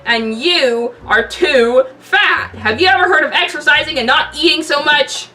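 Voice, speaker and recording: A woman voicing a brash, loud-mouthed grown man hero who throws whiny, insulting tantrums. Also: high voice, lively intonation, fairly quick, distant mic, pitched above the performer's own voice, poor audio. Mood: whiny yelling. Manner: screaming, whining, insulting.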